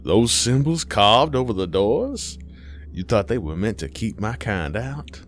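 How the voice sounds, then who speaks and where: A man voicing a sly, ancient female demon in a horror story, taunting and theatrical with drawn-out phrasing. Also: on the low side, lively intonation, soft background music, voice pushed up. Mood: menacing.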